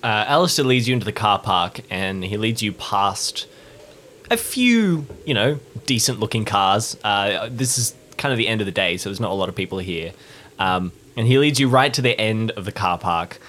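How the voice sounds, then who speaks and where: A man narrates off the cuff as a player in a tabletop game. His delivery is casual with very varied pitch, over faint noise. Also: lively intonation, normal speed, fairly bright tone.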